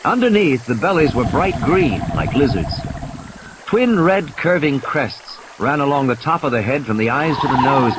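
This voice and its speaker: A man's voice: very animated, normal speed, background noise. A man narrating a scripted audio play in a dramatic storytelling style. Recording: faint background sound effects. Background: faint rumbling sound effects.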